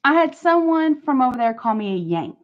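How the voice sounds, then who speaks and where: A woman's voice: high-pitched, sing-song, a little fast. A woman with a northern US accent chatting casually on a livestream, rambling and laughing often. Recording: dull lo-fi stream audio.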